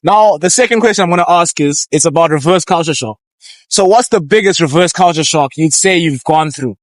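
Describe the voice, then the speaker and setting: A man's voice: on the high side, brisk, dry recording. A young man from Zimbabwe hosting a street interview vlog, upbeat and chatty. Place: outdoors on a street.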